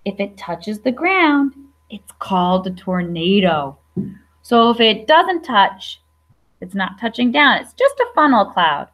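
A woman's voice: mid-range voice, big pitch swings, a little slow, fairly bright tone. A young adult woman teacher reading a picture book aloud to young children, explaining simply with questions and asides. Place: classroom.

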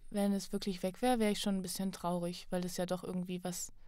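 A woman's voice: on the low side, level tone, quick pace, warm tone, clean tone. A young adult woman talking casually as a guest on a podcast. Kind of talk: casual chat.

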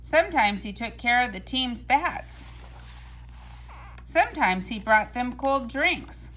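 Bright, high-voiced woman reading a storybook. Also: a little hiss or hum, poor audio. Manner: deliberate, expressive storytelling. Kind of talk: scripted read-aloud of a children's storybook.

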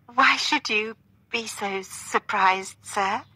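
A woman voicing a playful young gentleman who speaks with exaggerated, dramatic politeness in a storybook reading. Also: medium pitch, slow pace, breathy edge, no room sound, pitched above the performer's own voice, heavily acted. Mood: mock surprise. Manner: dramatic, over-polite, stretched-out delivery.

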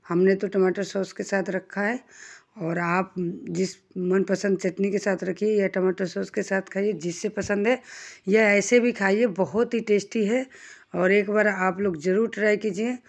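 A woman with a voice of ordinary, middle pitch hosts a home cooking channel. She talks in a homely, chatty way, explaining each step as she works at the stove. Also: fairly even intonation, unhurried, vocal fry. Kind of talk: casual step-by-step cooking instructions.

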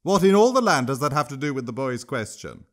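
A man's voice: medium pitch, big pitch swings, brisk, lightly acted. A man voicing an exasperated male royal minister who retorts indignantly.

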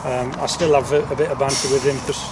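A man's voice: medium pitch, level tone, fast talker. A man, a football manager giving an informal interview, often repeating his words. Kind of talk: informal post-match interview. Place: outdoors on a football pitch. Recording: distant outdoor mic, clear background noise.

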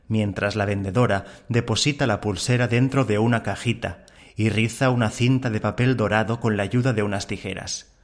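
A man hosting a fiction podcast and narrating in a scripted style. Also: fairly low pitch. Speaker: podcast host and story narrator.

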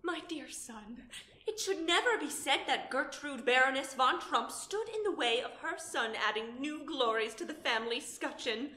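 A woman acting out a proud, fond baroness mother in stately old-fashioned speech. Her voice is high and bright. It sounds in a roomy, echoey space. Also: lively intonation, normal speed. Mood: tender. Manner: formal, archaic, fond.

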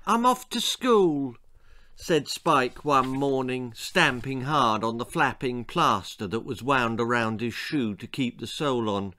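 A man in his sixties reading a children's story aloud with dramatic, expressive narration, savouring the words. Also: medium pitch, unhurried, hardly any pauses. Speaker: author reading own stories. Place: small book-lined room indoors.

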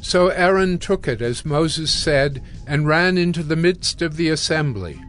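Male scripture narrator over soft music. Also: fairly high pitch, animated, a little slow.

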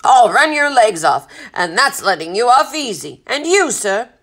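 Storybook captain female reader: old, blustering male seaman, loud and commanding, gravelly edge. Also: fairly high pitch, big pitch swings, a little slow, pitched above the performer's own voice, heavily acted. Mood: roaring, impatient. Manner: bellowing, salty old-time sailor talk. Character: blustering, gruff old sea captain, bellowing bull of a man.

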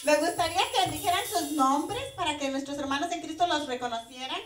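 A woman's voice: high-pitched, fairly quick, a loud crowd. A woman hosting a live religious TV show, introducing guests with enthusiastic cheers. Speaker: TV program host. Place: TV studio stage. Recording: echoey studio from a distance.

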